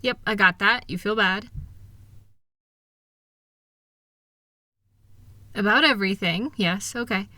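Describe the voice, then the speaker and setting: Woman acting as a bright-voiced, reassuring, loving wife. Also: mid-range voice, fairly quick, dry recording, lightly acted. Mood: gentle, patient concern. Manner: reassuring, gentle, teasing, affectionate. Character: kind, upbeat, capable wife soothing her insecure partner. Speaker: audio roleplay performer. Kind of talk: intimate comfort audio roleplay.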